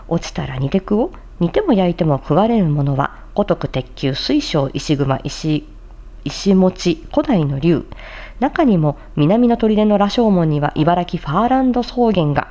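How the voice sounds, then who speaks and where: A woman's voice: sits low, dark tone. A woman who hosts a tongue-twister challenge like a voice actor, moving between carefully enunciated theatrical recitation and polite, chatty commentary. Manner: polite, chatty asides to viewers.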